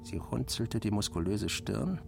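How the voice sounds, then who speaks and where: A man reading a literary satire as a dramatic audiobook narrator, expressive and theatrical, acting out the dialogue. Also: lowish voice, a little slow, creaky, music in the background.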